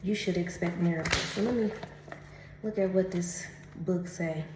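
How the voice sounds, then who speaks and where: A woman doing a casual oracle card reading, chatty and laughing often, who calls the listener honey and reads the card text slowly and carefully. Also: on the low side, fairly even intonation, reverberant, a little hiss or hum.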